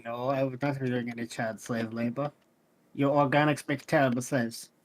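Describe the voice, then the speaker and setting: A man voicing a blunt robot shopkeeper in a tabletop game, curt, deadpan and dismissive of organic beings. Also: mid-range voice, normal speed, pitched below the performer's own voice, lightly acted. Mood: deadpan, dry.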